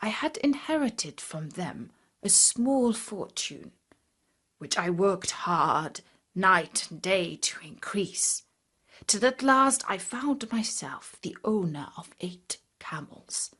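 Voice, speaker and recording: A woman's voice: medium pitch, big pitch swings, takes it slowly, fairly bright tone, breathy edge, exaggerated voice. A woman voicing a humble, pleading blind beggar man in formal, beseeching storybook speech. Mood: recounting, solemn. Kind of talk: children's storybook reading.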